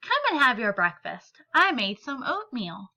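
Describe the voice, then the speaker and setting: A woman voicing a caring, gently teasing mother in a children's storybook. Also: a little high, big pitch swings, measured pace, dull audio, full character voice. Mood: caring, curious.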